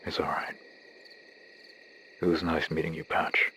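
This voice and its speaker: A man acts out a grief-worn operative in a horror animation. His voice is extremely deep and barely moves in pitch. He speaks in short, subdued phrases, with faint night noise behind him. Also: a little slow, exaggerated voice. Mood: somber. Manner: terse, subdued, flat delivery. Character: somber, grief-worn male military operative. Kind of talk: analog horror animation acting.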